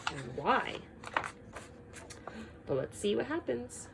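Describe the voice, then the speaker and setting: A woman teaching young children through an expressive read-aloud, prompting them with frequent simple questions. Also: mid-range voice, slow pace, creaky, noisy background.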